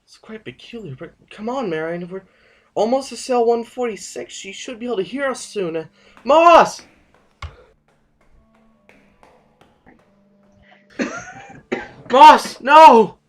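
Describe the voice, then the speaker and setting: A woman's voice: a little high, lively intonation, a little slow, long pauses. A woman playing an earnest, urgent and emotional young leader in an audio drama. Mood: searching, alarmed. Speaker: voice actor.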